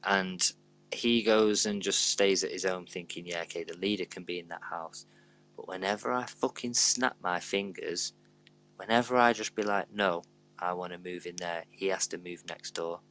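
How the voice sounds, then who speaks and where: A man's voice: low voice, steady tone, normal speed, a bit bright, rough recording. A British man hosting a casual live show with jokey, sweary, blokey banter. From Britain. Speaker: host.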